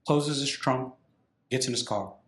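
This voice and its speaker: A man hosting a podcast, an animated storyteller who repeats phrases for emphasis. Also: mid-range voice, unhurried, reverberant. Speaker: podcast host, former firefighter.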